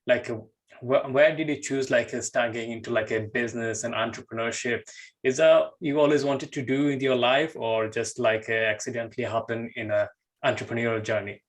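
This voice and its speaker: A man hosting a podcast, interviewing a guest in a halting way full of "like"s and restarts. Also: mid-range voice, fairly even intonation. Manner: halting, filler-heavy, many "like"s. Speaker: podcast host.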